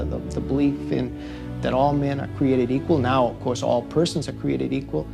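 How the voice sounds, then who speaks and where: A man speaking as an expert in a documentary interview, reflective and halting, repeating words as he goes. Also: medium pitch, vocal fry.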